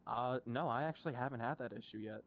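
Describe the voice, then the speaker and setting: A man's voice: mid-range voice, quick pace, phone-quality sound. A man chatting casually in a game voice chat, easygoing and often stumbling over repeated words, on a VR headset mic. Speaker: player in voice chat.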